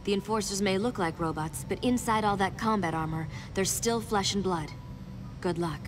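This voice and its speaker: A woman's voice: mid-range voice, normal speed, background noise, lightly acted. An actress playing a guarded, resolute resistance operative in a video game, serious and secretive as she gives instructions. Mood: serious, determined. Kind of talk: video game cutscene acting.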